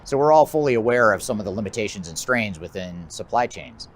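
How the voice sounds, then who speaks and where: An American man giving a measured, methodical explanatory presentation as a business consultant, his phrases often trailing off at the end. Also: on the low side, animated. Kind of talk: explanatory presentation of a trends list.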